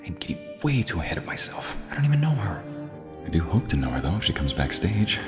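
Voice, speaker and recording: Bashful, gentle male violinist, played by a man for romantic audio roleplay: lively intonation, hesitant delivery, long hall reverb. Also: low voice, fast, over music. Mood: wistful, self-coaxing. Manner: hesitant, breathy asides and sighs, stammering. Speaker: ASMR roleplay voice actor. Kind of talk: intimate ASMR romantic roleplay acting.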